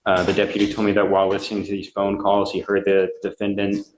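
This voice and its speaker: A man reporting formally to a judge as prosecutor in an echoey courtroom, halting and matter-of-fact. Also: lowish voice, monotone, a little mellow. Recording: echoey courtroom, faint noise.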